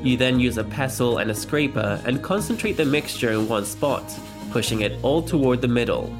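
A man giving a calm, explanatory interview voice-over for an artist. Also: medium pitch, moderate pace. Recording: voice-over with loud music behind.

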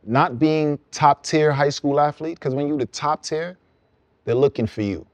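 A young man from Brooklyn, a songwriter guest, explaining his path in relaxed, reflective casual conversation. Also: on the high side, unhurried, no room sound. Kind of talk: casual podcast chat.

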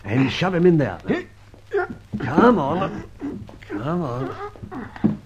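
A man acting a dashing, wry gentleman adventurer in an old radio drama, brisk and commanding. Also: a little high, wildly swooping pitch, background noise, full character voice. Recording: dull vintage radio recording, faint music.

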